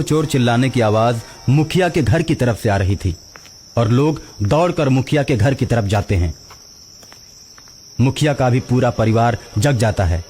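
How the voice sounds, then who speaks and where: A man's voice: mid-range voice, a little mellow. A man narrating a scripted cartoon story as the storyteller.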